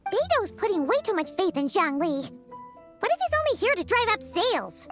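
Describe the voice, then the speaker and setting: A woman voicing a tiny, cheeky, excitable fairy companion in a video game, squeaky and childlike. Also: normal speed, faint music behind. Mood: suspicious. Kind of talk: cartoonish video game voice acting.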